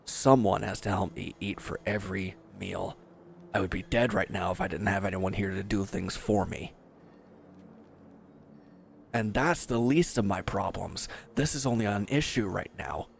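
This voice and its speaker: A man's voice: low-pitched, moderate pace, gravelly, a little hiss or hum. A man playing a bitter, proud and resentful injured villain in a dramatic audio roleplay, speaking with sardonic, confrontational edge. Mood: bitter, despairing.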